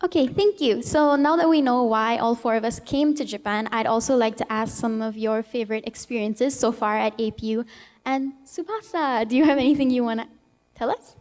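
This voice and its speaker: A woman's voice: a little high, a little fast, a little mellow, big-room sound. A young woman from Trinidad and Tobago, a student moderating a panel and asking upbeat questions. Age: young adult. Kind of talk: panel discussion.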